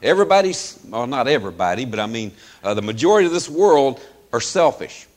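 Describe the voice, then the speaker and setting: A hoarse middle-aged man leads a service with a loose, chuckling manner, full of "uh"s between his phrases. His pitch swings widely and climbs as he presses his points. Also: very animated, brisk. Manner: folksy, chuckling, loose with fillers. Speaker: pastor. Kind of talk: sermon.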